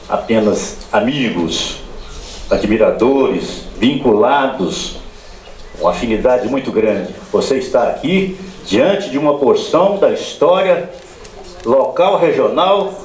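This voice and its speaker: A man's voice: on the low side, darkish tone, slight background noise. A man in his seventies with a Brazilian accent gives a formal, solemn and emphatic ceremonial speech into a handheld microphone. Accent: Brazilian Portuguese. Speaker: ceremony speaker. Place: crowded room at a public ceremony. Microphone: handheld microphone over PA. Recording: echoey amplified hall sound.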